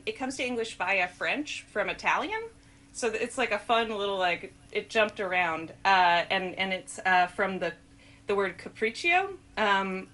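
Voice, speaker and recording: A woman presents a casual quiz in an upbeat, chatty way. Her voice sits at an ordinary middle pitch, and she is quick to laugh. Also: sharp tone. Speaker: host of a language quiz video. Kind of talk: casual game-show presenting and chat.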